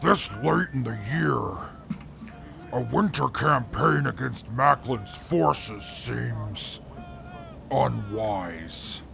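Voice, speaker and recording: A man playing a grave, deliberate wasteland veteran with a gravelly voice in an audio drama. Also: mid-range voice, lively intonation, fairly bright tone, soft background music, heavily acted. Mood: doubtful.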